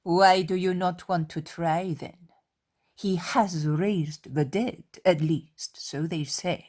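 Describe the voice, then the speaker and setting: A woman's voice: on the low side, fairly even intonation, slow, a little mellow. A woman voicing a falsely helpful man who speaks in an insinuating way.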